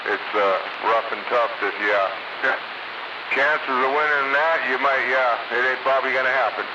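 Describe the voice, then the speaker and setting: A man's voice: mid-range voice, normal speed. An American man chatting casually over CB radio in a folksy, colloquial way. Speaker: CB radio operator. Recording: muffled, very hissy CB radio.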